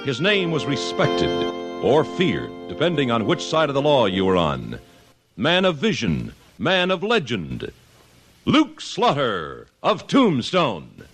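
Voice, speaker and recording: Grand, dramatically swooping radio announcer man. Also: on the high side. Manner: grand, declamatory. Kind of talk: 1950s radio western announcing, dramatic scripted narration. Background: prominent music.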